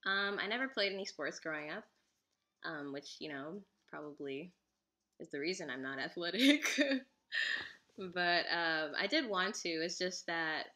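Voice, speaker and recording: Young woman, native Igbo speaker; casual giggly vlog chat, low-set but bright, forceful tone. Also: lively intonation, a little slow. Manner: chatty and giggly, lots of fillers. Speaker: vlogger.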